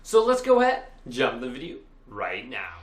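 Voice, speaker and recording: A man's voice: mid-range voice, huge pitch swings, normal speed, slight background noise. A man presenting a casual step-by-step tech tutorial in an upbeat, emphatic presenter's delivery. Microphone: Blue Yeti desktop microphone.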